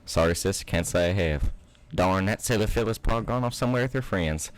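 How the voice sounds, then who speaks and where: Man playing a large placid stallion farmer, put-on Southern drawl, very low voice, slow easygoing talk. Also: natural voice.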